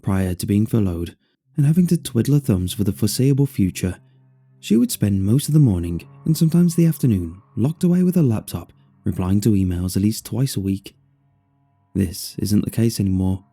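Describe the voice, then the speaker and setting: A man narrating a scripted first-person horror story in a measured, expressive way over music. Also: lowish voice, slightly rough. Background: faint music.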